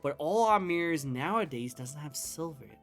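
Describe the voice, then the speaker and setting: A man's voice: fairly high pitch, expressive, a little fast. Enthusiastic rambling male interview host. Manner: rambling, enthusiastic, many fillers and restarts. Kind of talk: casual interview chat. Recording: faint music underneath.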